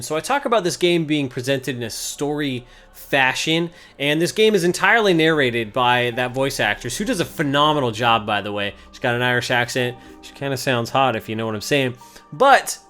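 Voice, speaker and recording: A man's voice: a little high, expressive, a little fast, bright, forceful tone. A man giving casual, conversational gameplay commentary as the host of a video game series. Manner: informal, conversational. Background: game music.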